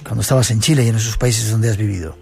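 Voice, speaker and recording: A man hosting a radio interview, asking brisk questions. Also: on the low side, fairly even intonation, vocal fry, darkish tone, slightly rough, faint music behind.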